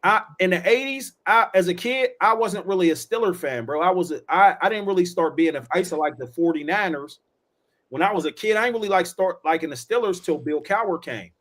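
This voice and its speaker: A man's voice: sits high, fairly quick, fairly bright tone. A man in his forties with a Pittsburgh accent, telling loose, slangy stories on a casual podcast and tossing in "bro" and "yo". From Pittsburgh, USA. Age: 40s. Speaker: podcast host. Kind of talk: casual chat.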